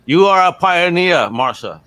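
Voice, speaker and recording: A man's voice: sits high, expressive, normal speed, sharp tone, clear, resonant tone, dry recording. A man hosting a casual podcast interview in an enthusiastic, slangy way. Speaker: podcast host.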